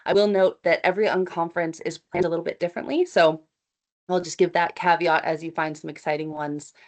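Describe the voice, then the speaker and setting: A woman's voice: lowish voice, fairly even intonation, brisk. A woman, presenting at an online meeting in a chatty, upbeat, inviting way over a computer mic. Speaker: event organizer presenting. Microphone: computer mic on video call.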